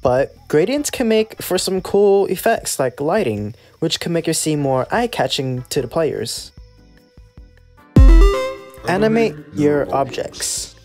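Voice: mid-range voice, very animated, slow, darkish tone. Tutorial host, mid-range voice, casual and playful delivery, scripted explainer, music in the background. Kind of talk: scripted software tutorial narration.

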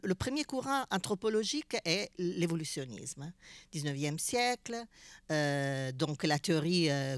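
A middle-aged woman speaking as a panelist at a festival round table, halting and repeating words, into a handheld mic. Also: sits low, clean tone, dry recording. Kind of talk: panel discussion. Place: festival stage with backdrop.

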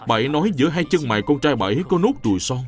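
Brisk, courteous young scholar played by a man. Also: mid-range voice, very animated, soft background music, close to an everyday voice. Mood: polite, earnest. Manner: formal, polite, earnest. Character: courteous, humble, upright young male scholar. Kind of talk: animated historical cartoon dubbing.

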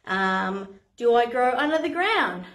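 A woman's voice: on the high side, expressive, slow pace, fairly bright tone. An Australian woman in her thirties, a playgroup facilitator, explaining games to parents in a chatty, encouraging way with many ums. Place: playroom with a screen, indoors. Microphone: camera a few steps away. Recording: echoey room.

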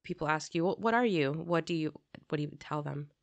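Woman, podcast host, very low voice, dry close sound, casual questions. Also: fairly quick, vocal fry, no echo, dull audio. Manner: asks questions, relates with own experience. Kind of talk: casual interview chat.

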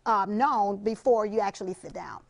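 A woman's voice: medium pitch, moderate pace, creaky. An American woman giving instructional advice as an etiquette expert on a studio panel.

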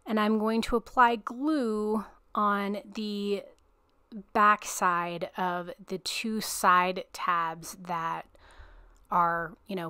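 A woman hosting a card-making tutorial, talking through each step in a relaxed, chatty way with a self-deprecating touch. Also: mid-range voice, smooth, no echo, clean studio sound. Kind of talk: step-by-step craft tutorial narration.

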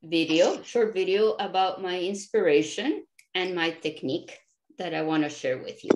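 Woman in her 60s from peru presenting her art on a video call, lowish voice, roomy echo. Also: normal speed, very noisy. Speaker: visual artist giving a presentation. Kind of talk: online presentation.

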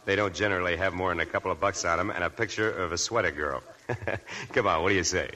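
An actor playing a breezy, hard-drinking, talkative nightclub press agent, glib and slangy, in a 1940s radio drama. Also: male voice, low-pitched, fast, pushed and bright. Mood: coaxing. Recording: 1940s radio broadcast with music.

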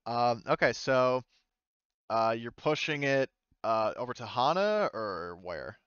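A man with a bright, clear voice acts as game master for a casual tabletop session. He thinks out loud as he rules on moves. The audio is dry and a little dull, like close-miked voice chat. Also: mid-range voice, animated, slow, no room sound.

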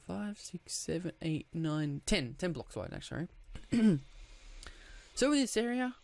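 Croaky man rambling through a game tour. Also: on the high side. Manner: rambling, frequent restarts and throat clearing. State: croaky, just woke up. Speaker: gaming YouTuber. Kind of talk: casual gameplay commentary.

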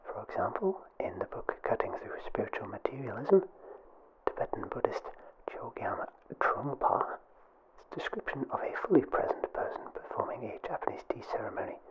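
Whispering young man, formal and deliberate. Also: medium pitch, very animated, lo-fi sound. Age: young adult. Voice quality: soft, breathy whisper. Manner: formal, deliberate, mock-official delivery. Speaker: ASMR video creator, film presenter. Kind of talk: scripted ASMR public information film narration.

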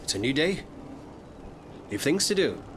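A man's voice: a little high, big pitch swings, normal speed, noisy background. A man playing a tabletop game, trading casual banter in character.

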